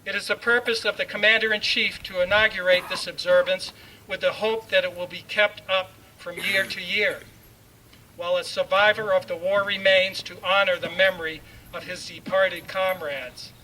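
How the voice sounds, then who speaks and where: A man's voice: high voice, fairly even intonation, normal speed, bright, forceful tone. A man reading a formal proclamation aloud in a solemn, oratorical manner. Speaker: ceremonial reader. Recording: distant mic, faint background noise.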